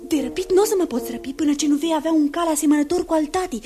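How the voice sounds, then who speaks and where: An actress playing a tender young enchantress, a sorcerer's daughter, in 1960s radio theatre, with breathy, dramatic delivery. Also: female voice, high voice, mellow, soft background music, close to an everyday voice. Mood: hurried, secretive. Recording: faintly noisy 1960s radio recording.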